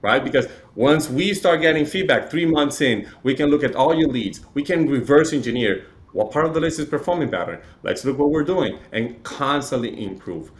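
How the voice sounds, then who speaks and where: A man from Chile who grew up speaking talks as a guest in an interview. His pitch sits in the middle for a man, and he explains things conversationally, with frequent tag questions. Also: animated, normal speed, hardly any pauses. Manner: explanatory, uses frequent "right?" tags. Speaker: interview guest, real estate data provider.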